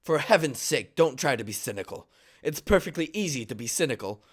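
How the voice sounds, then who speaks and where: A man voicing an earnest, easily exasperated young gentleman, a little stiff, in a stage comedy table read. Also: mid-range voice, sing-song, fairly quick, breathy edge, pitched above the performer's own voice.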